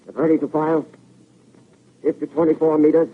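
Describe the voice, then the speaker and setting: Curt artillery officer, tinny old radio. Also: male voice, fairly high pitch, flat delivery, normal speed, breathy edge. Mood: urgent, commanding. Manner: curt, commanding. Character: artillery officer barking firing orders to his crew. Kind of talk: 1930s radio drama acting. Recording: tinny, hissy 1930s radio recording.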